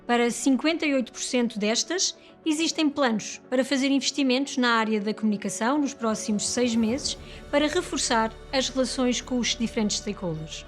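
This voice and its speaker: A woman's voice: on the high side, a little fast. A woman with a European Portuguese accent reads a scripted corporate report as narrator. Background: music.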